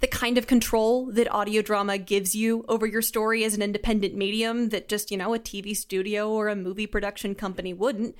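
A woman's voice: mid-range voice, level tone, fairly quick, few pauses, smooth. A young adult woman chatting casually and enthusiastically as an interview guest. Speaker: interview guest, audio drama creator. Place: remote Zoom call.

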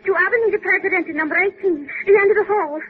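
A woman's voice: very high-pitched, fast. An actress playing a frightened, tearful young woman pleading desperately in a 1950s radio drama. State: distraught, tearful. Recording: tinny 1950s radio, telephone-like sound.

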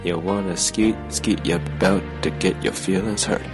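A man's voice: deep voice, steady tone, normal speed. A man giving a dramatic reading of rap lyrics. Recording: prominent music under the voice. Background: music.

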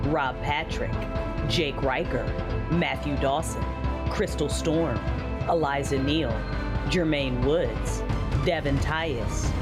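A woman narrating a fan audio drama in the first person, her delivery soft and often hushed. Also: low voice. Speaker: writer, director and narrator.

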